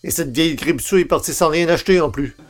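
An actor playing a hapless, grumbling bookseller in a comic old-time radio play, hesitant and theatrical. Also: male voice, a little high, quick pace, slight background noise, natural voice. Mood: grumbling, exasperated. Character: hapless, grumbling everyman, failed singer turned bookseller.

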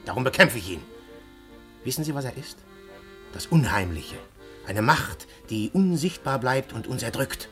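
An actor playing an earnest, defiant undercover police detective, courteous and dramatically emphatic, in a German radio play. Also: male voice, mid-range voice, animated, moderate pace, pauses often, soft background music, close to an everyday voice. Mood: grave, resolute.

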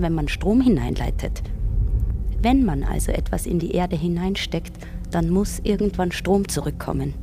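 A woman's voice: fairly low pitch, lightly acted. An actress playing an earnest crackpot woman researcher in a radio drama, lecturing with unshakable certainty. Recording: noisy field-like scene with ambience.

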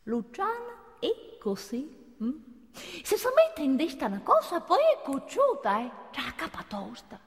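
A woman's voice: high-pitched, wildly swooping pitch, heavily acted. Folksy gossiping woman in a reverberant hall. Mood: confiding. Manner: halting, folksy, with frequent tag questions. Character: gossipy, fond, plain-spoken friend telling a story. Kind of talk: theatrical storytelling monologue. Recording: hall reverb, faint music behind.

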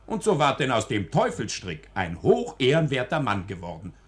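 A man's voice: mid-range voice, huge pitch swings, moderate pace. A man playing a wry, folksy chronicler in a 1940s German radio drama, telling the tale ornately and tongue-in-cheek. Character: wry, folksy male chronicler addressing good people. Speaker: narrator in a radio play.

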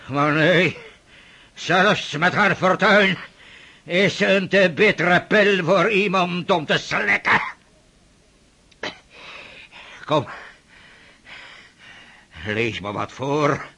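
Rich: A high, gravelly, husky old prince who rants and barks at everyone. He speaks slowly, in a bright, strained voice. He is a suspicious tyrant in a radio play. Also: exaggerated voice. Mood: bitter. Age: older. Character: irascible old male Russian prince, domineering.

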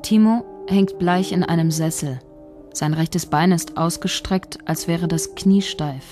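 An actress playing a calculating female writer, narrating in a measured, detached, literary way. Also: on the low side, warm tone, lightly acted. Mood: detached, watchful. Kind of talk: radio drama first-person narration. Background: music.

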